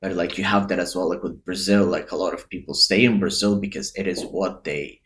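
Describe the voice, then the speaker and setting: A man's voice: low voice, fairly even intonation, brisk, fairly bright tone, slight background noise. A man who grew up in Eastern Europe, giving casual, reflective opinion commentary and thinking aloud. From Eastern Europe, Lithuania and Ukraine.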